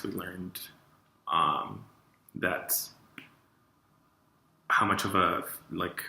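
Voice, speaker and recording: Very deep-voiced young man, bright tone. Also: gravelly, roomy sound, low-quality recording. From New Mexico, USA. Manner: hesitant, many fillers. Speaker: interviewee. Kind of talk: interview answers.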